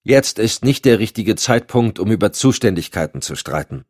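A man's voice: lowish voice, expressive, normal speed, a little mellow, slightly rough, no echo, clean studio sound, voice pushed up. A man voicing a stern, decisive president in an audiobook, speaking with authority. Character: firm, authoritative male president cutting off quarrels. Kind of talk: audiobook dialogue.

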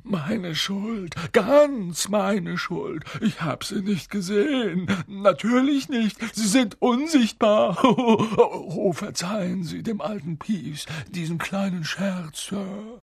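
A nasty little storybook poltergeist with a shrill, strained voice. The pitch sits very high and leaps about wildly. Words are stretched and exaggerated, mischievous and oily. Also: male voice, very animated, breathy edge, dry recording, clean studio sound, heavily acted. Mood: slimy, grovelling. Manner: exaggerated, swooping, drawn-out words. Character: mean, mischievous male poltergeist, taunting then slimy and servile.

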